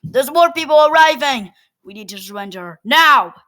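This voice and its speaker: A woman's voice: high-pitched, animated, normal speed, bright, forceful tone. A woman acting out a panicked scene, crying out and pleading. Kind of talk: amateur audio drama.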